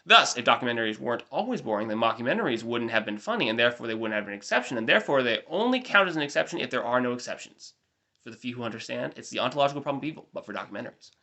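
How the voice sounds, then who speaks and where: A man's voice: mid-range voice, sing-song, racing, bright, forceful tone. A nasal young man giving a wry, rambling recap of a family trip in mock-formal wording. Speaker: vlogger.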